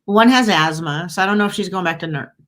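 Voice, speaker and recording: A woman's voice: on the low side, quick pace, a bit bright. A woman hosting a podcast, chatting casually and agreeably with her guest. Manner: agreeable, chatty, often laughing along. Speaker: podcast host. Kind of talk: casual chat.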